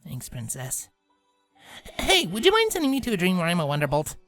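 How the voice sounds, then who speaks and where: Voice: medium pitch, wildly swooping pitch, fairly quick, fairly bright tone, no room sound, soft background music, pitched above the performer's own voice. A narrator voicing a brash young pegasus mare with a casual, plain-spoken, tomboyish manner. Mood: troubled.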